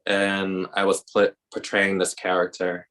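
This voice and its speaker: Podcast host, male, deep and monotone, low-key and even. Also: measured pace. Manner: self-described introvert, even-toned. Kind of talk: casual interview chat.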